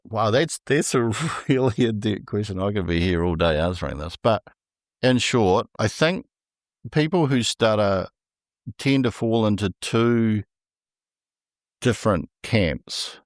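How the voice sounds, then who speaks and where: A man with a New Zealand accent who speaks slowly and deliberately, with a stutter, in a relaxed interview as a podcast guest. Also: lowish voice, no room sound, studio quality. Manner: slow, deliberate speech with a stutter. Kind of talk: casual interview conversation.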